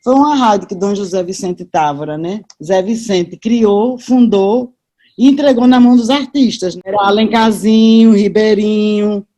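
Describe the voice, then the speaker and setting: A woman's voice: medium pitch, moderate pace, warm tone. An older woman with a Northeastern Brazilian accent, reminiscing casually as an interview guest, chatty and full of asides and tag questions.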